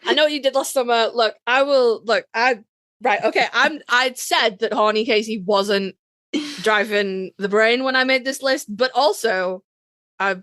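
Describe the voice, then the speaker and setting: A woman's voice: on the high side, a bit bright, no echo. A woman co-hosting a casual fan podcast, chatting in a sweary, gushing, self-mocking way. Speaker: podcast co-host.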